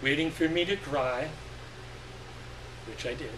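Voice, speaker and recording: A man giving an expressive live reading of literary fiction, with deliberate phrasing and dramatic pauses. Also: on the high side. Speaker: story reader. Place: live reading event indoors. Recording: echoey room, distant mic, faint hum.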